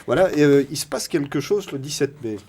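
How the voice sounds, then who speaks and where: A man hosting a radio interview, briskly steering and wrapping up, a little off mic. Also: on the high side, lively intonation, darkish tone, slight background noise. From Belgium.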